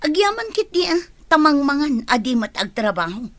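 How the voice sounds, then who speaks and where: A woman's voice: high voice, big pitch swings, moderate pace, dry recording. A woman acting in a radio drama as a scornful female accomplice who complains.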